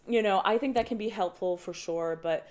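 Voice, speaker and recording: A woman's voice: mid-range voice, normal speed, a little mellow. A young woman, an opera director, talking casually in a podcast interview, thoughtful and often restarting her sentences with light laughs. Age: young adult.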